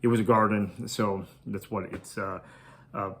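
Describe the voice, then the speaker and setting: A man's voice: fairly low pitch, steady tone, measured pace. A man whose first language is Tamil, hosting a podcast and talking through a cricket match preview in a conversational, thinking-aloud way. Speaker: podcast host. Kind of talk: solo cricket preview commentary.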